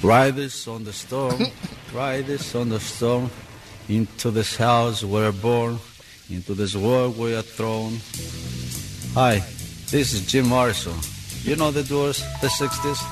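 A man's voice: mid-range voice, fairly even intonation, takes it slowly, lightly acted. A man playing a Greek-accented hot dog vendor who croons like a rock singer in a comic radio bit. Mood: crooning. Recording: distant archive clip with music.

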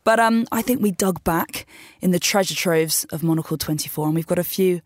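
Relaxed woman on a radio panel. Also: lowish voice, brisk, dry recording, studio quality. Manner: relaxed, with um and kind-of fillers. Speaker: radio presenter. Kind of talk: conversational radio chat.

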